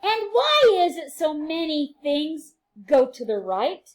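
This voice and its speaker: A woman teacher reading a picture book aloud to children, playful and sing-song, with quieter chatty asides. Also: high-pitched, very animated, slow pace.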